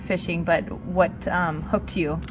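Junge Frau als Podcast-Moderatorin. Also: female voice, on the low side, fairly even intonation, slow pace, background noise. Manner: laughs often, asks questions. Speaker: podcast host. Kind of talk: casual interview chat.

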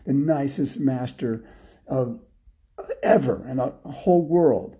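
A man's voice: mid-range voice, takes it slowly, dark tone, pitched above the performer's own voice. A man voicing a meek, remorseful boy in a told story, earnest and apologetic.

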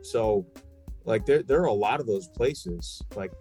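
Emphatic lower-voiced man talking sports. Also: unhurried, dark tone, faint music behind. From New York. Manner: emphatic, asks rhetorical questions. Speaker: sports podcast guest and radio play-by-play broadcaster. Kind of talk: casual sports talk, opinionated.

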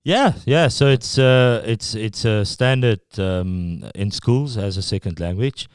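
A middle-aged South African man with an Afrikaans accent, a guest talking casually and haltingly in an interview, with many restarts. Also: lowish voice, clean tone, no echo, studio quality. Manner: halting, full of restarts and fillers.

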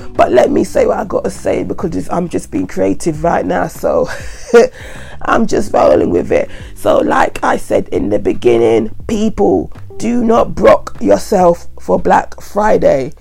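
Woman, croaky with a cold, mellow low-toned voice, repeats her warnings, soft music underneath. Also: sing-song, a little slow. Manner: chatty, repetitive, earnest warnings. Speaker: vlogger. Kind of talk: casual advice monologue to camera.

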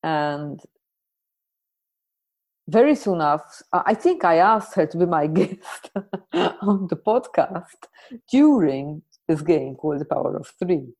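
A woman hosting a podcast, introducing and questioning in a conversational, reflective way with deliberate pauses. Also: sits low, animated, a little mellow. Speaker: podcast host.

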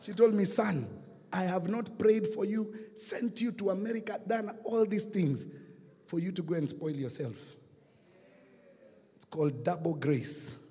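A man with a Kenyan accent preaching a sermon, telling a story and swinging from hushed to shouting. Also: high-pitched, a little slow, husky, in a large hall. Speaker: pastor. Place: church hall.

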